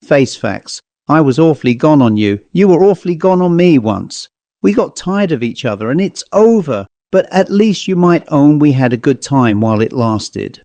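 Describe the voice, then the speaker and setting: A sneering, worldly married man who speaks bluntly, as the narrator reads him. The voice is mellow and recorded dry and close. He talks plainly and dismissively. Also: a little high, very animated, moderate pace, no echo.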